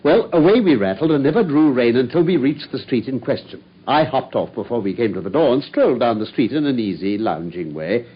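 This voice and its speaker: An actor playing a self-assured English detective in a radio drama, telling things with brisk, wry amusement. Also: male voice, mid-range voice, expressive, husky. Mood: wry storytelling. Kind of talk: British radio drama acting. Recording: dull, muffled radio drama recording.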